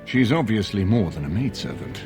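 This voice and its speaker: Low-voiced, earnest nobleman with swooping intonation. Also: big pitch swings, vocal fry, darkish tone. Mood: earnest, measured. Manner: measured, formal, persuasive. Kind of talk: video game dialogue acting. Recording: game dialogue over background music.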